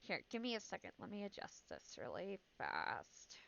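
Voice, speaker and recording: A woman streamer reacting live in casual chat, excitable and jumpy, repeating herself when startled. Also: medium pitch, moderate pace, vocal fry. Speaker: streamer reacting to horror videos. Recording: dull lo-fi sound, music behind.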